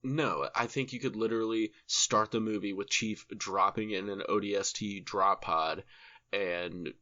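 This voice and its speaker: A man chats as a podcast co-host in a fairly low voice with a sharp, forceful edge. His delivery is slangy, casual and enthusiastic. The recording sounds slightly dull and lo-fi. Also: fairly even intonation, normal speed. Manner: slangy, heavy casual swearing.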